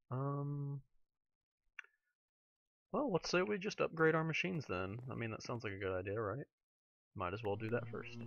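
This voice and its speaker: Raspy, slightly hoarse man gaming. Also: on the low side, lo-fi sound. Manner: chatty, talks to himself while playing, excitable. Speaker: let's play gamer. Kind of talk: casual gameplay commentary.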